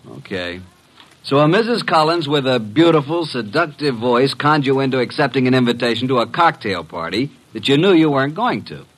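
An actor playing a breezy male detective's assistant in an old radio drama, with a wry, smooth-talking manner. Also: medium pitch, lively intonation, brisk, a bit bright, rough recording. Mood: teasing, sarcastic. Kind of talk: 1940s-50s radio drama acting and narration. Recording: vintage radio drama recording.

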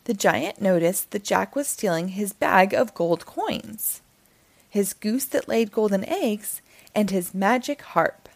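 A woman reading a children's storybook aloud as narrator, in an expressive storytelling style. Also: medium pitch, slow pace, dry recording.

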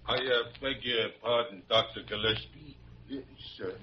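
An actor playing a slow-witted, deferential working-class ambulance driver in a vintage radio drama, husky, slangy and hesitant. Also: male voice, mid-range voice, steady tone, noisy background, natural voice. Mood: sheepish, deferential. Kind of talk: 1940s-50s radio drama acting. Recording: dull vintage radio recording.

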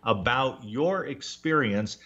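A man's voice: mid-range voice, lively intonation, takes it slowly, a bit bright. A man hosting a radio interview, asking questions in a thoughtful, meandering way. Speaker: radio show host. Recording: dull lo-fi radio audio.

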